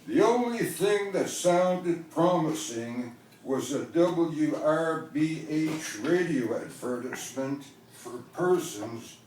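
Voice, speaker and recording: Echoey, slow-reading man from Canada. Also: medium pitch, darkish tone. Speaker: author reading his own work. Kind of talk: literary prose reading at a book launch. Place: indoor event venue, recorded from the audience. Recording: distant, echoey live-venue recording with faint noise.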